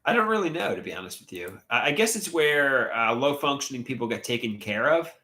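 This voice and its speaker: Brief: congested male streamer, bright lively voice, rambling delivery, roomy echo. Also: mid-range voice, a little fast. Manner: rambling, hesitant, trails off mid-thought. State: stuffy, congested nose. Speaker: livestream host. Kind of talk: casual livestream chat, reading comments aloud.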